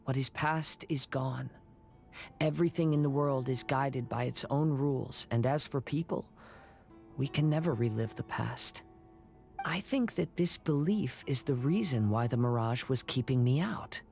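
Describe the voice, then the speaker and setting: An actor playing a calm young wandering samurai in a video game, speaking softly in a measured, contemplative way. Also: male voice, medium pitch, creaky, soft background music. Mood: calm, reflective. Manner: soft-spoken, contemplative, measured. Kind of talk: scripted video game dialogue.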